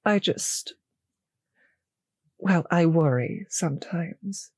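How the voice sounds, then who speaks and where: A woman playing a cruel, possessive vampire mistress in an intimate audio roleplay, speaking in a slow, teasing, condescending purr with breathy, whispered phrases. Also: fairly low pitch, darkish tone, dry recording, heavily acted. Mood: condescending, veiled threat. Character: cruel, possessive, seductive female vampire mistress toying with servant.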